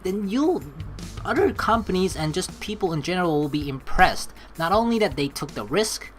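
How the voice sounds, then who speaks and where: A woman streams a game with casual, dramatic commentary. Her voice sits a bit low but moves with great expression, and she swears freely over faint game music. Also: lively intonation, normal speed. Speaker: let's play streamer. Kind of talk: casual gaming commentary, reading game text aloud and improvising replies.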